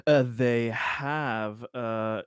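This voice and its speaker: Medium-pitched man, dry sound. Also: fairly even intonation, extremely slow, clean tone, dry recording. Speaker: tabletop game player. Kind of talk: casual chat, explaining rules.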